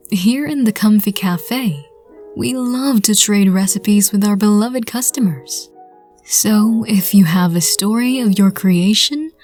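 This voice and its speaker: Slow, soft-spoken woman narrator. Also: mid-range voice. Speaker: narrator and channel host. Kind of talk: narrated audio roleplay and outro.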